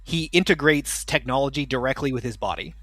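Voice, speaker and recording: A man's voice: medium pitch, a little fast, a bit bright, dry recording. A man narrating as game master in a casual tabletop game podcast, explaining at length with lots of fillers and restarts.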